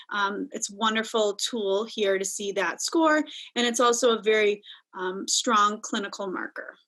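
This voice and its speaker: Woman clinician, webinar case walkthrough; methodical, explanatory, slightly high voice, video call. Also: a bit bright, rough recording. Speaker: clinician presenter.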